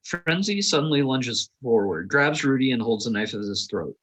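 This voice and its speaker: A man's voice: mid-range voice, expressive, moderate pace, fairly bright tone, poor audio. A man reading stage directions aloud as scripted narration, now and then stumbling over a word and correcting himself. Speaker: stage directions reader at a table read.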